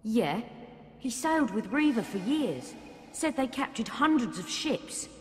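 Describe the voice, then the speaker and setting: A woman's voice: fairly high pitch, big pitch swings, unhurried. An actress with a British accent playing a brash, blunt, sarcastic young warrior woman in a fantasy video game. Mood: confident, earnest. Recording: long hall reverb, game music behind.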